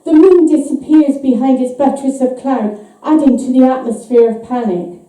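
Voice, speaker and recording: A woman giving a live, measured and suspenseful dramatic reading of a short story. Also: sits high, dark tone. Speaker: author reading her own short story. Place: live reading event, voice picked up from afar. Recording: echoey hall, distant microphone.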